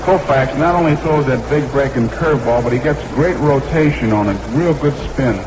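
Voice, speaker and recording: Male baseball announcer, 1960s radio style, mid-pitched, clipped delivery, clearly noisy archival broadcast sound. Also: normal speed. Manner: short clipped phrases, repeats the count. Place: ballpark broadcast booth.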